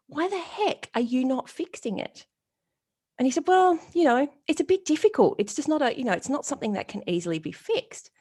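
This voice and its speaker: A woman in her forties giving an interview as a retail strategist, telling stories bluntly and casually and acting out the people she quotes. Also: mid-range voice. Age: 40s. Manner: lively, animated storytelling with quoted dialogue. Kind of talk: storytelling interview answer.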